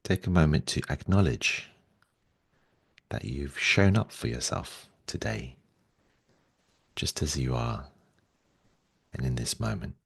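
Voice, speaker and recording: A man leading a guided meditation in a gentle, soothing way, speaking slowly and softly with long pauses. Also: rumbling low, lively intonation, vocal fry, slightly rough, dry recording, studio quality.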